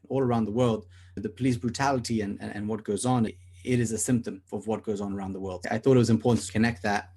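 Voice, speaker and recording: A man's voice: on the low side, a little mellow. A young man from South Africa, co-hosting a podcast and talking earnestly in long, rambling stretches with many restarts. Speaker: podcast co-host. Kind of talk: casual podcast discussion.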